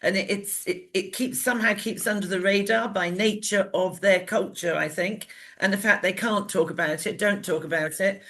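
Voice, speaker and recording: A woman's voice: medium pitch, steady tone, normal speed, fairly bright tone. A woman with a British accent giving her opinions in casual, chatty and emphatic talk.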